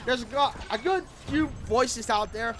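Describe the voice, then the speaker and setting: High-pitched man rambling over gameplay. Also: unhurried, a bit bright, a little hiss or hum. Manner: rambling, repeats phrases. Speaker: gaming video creator. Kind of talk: casual rambling video commentary over gameplay. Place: room at home. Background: background music.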